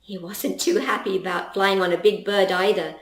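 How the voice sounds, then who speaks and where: A woman reading a children's story aloud as an expressive storyteller. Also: medium pitch, moderate pace. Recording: roomy, echoing voice recording.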